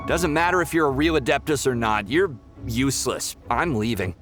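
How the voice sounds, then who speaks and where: A man's voice: medium pitch, animated, moderate pace, over loud music, lightly acted. An actor playing an irritable, scornful young male pilgrim who talks in an indignant, accusing way in a game.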